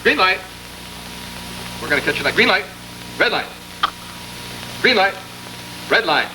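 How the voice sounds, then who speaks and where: A man's voice: sits high, very animated, a little slow. A man playfully calling a game as announcer on a vintage children's show. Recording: noisy old broadcast archive.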